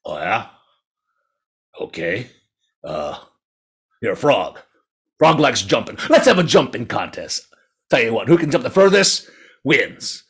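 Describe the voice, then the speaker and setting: A man voicing a hungry, smug, slow-witted tiger in a folktale, muttering and drawling. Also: medium pitch, big pitch swings, a bit bright, breathy edge, exaggerated voice.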